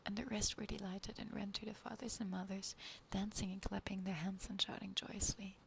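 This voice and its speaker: A young woman whispers close to the microphone, her soft voice carrying a gravelly edge. She reads slowly and gently, as an ASMR streamer talking to her viewers. A faint hiss sits under the recording. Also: medium pitch, flat delivery. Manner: soft whispering, chatting with chat. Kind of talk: whispered ASMR story reading.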